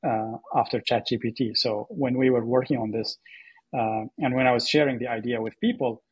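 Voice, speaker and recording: Husky-voiced man telling his story in an interview. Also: mid-range voice, steady tone, a little fast, no echo, muffled recording. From Syria. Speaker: podcast guest, AI startup co-founder.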